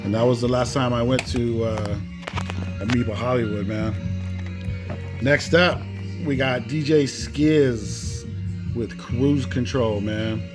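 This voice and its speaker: A man's voice: medium pitch, steady tone, takes it slowly. A man hosting a casual, unscripted collector's vlog in a laid-back manner, often tagging phrases with "man.". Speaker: host showing off a cassette collection. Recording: music playing behind the voice. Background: music.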